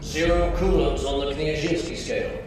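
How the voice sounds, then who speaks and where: An actor playing a procedural scientist calling out results in a video game, in clipped phrases. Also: male voice, fairly high pitch, steady tone, moderate pace, close to an everyday voice. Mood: clinical. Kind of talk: video game cutscene acting. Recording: very echoey distant hall, music.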